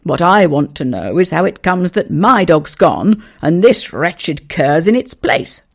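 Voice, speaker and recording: Brief: reader putting on a blustering, outraged neighbour, gravelly, pitch leaping about. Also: very animated, a little slow. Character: indignant man denying theft.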